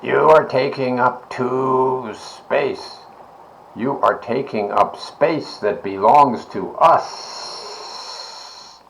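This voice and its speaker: A man voicing a big, bossy, territorial snake in a storybook, scolding in drawn-out words. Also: mid-range voice, gravelly, a bit bright, slight background noise, pitched above the performer's own voice, heavily acted, rough recording.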